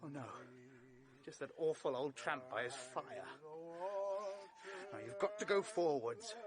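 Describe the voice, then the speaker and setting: A hapless young British gentleman, in the manner of a vintage radio play. His fairly high voice swings widely as he exclaims in genteel, self-pitying theatrical style. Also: animated, measured pace, over a noisy crowd. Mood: nervous, fearful. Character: hapless, excitable young gentleman wrongly suspected of murder. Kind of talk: vintage radio drama acting.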